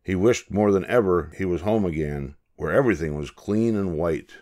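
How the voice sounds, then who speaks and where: An older man narrating a children's picture book in a measured, expressive storytelling manner. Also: sits low. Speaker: storybook reader.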